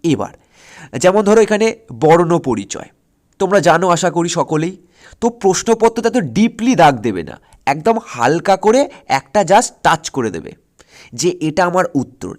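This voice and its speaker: A man with a high-pitched voice and a West Bengal Bengali accent gives an informal exam lesson. He explains each step instructively, restates key points and punctuates them with short check-in questions. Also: big pitch swings, normal speed. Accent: Indian Bengali, West Bengal. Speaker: exam-prep history teacher on an online channel.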